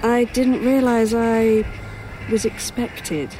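Puzzled woman, audio drama, slightly high voice, hesitant, polite, unhurried, ambient noise and bird caws. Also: fairly even intonation, warm tone, rough recording. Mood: bewildered, hesitant. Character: bewildered, polite, dry-witted woman in a strange place.

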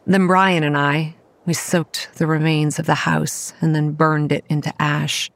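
An actress playing a young campground manager who narrates a horror audio drama in a wry, dry, understated way. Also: female voice, low voice, level tone, unhurried, dry recording. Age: young adult.

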